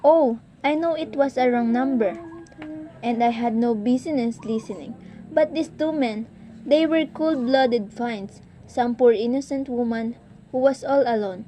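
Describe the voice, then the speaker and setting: A woman playing an anxious, sickly, demanding wife in an amateur radio play, fretful, halting and insistent. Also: fairly high pitch, noisy background, close to an everyday voice. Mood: indignant, insistent.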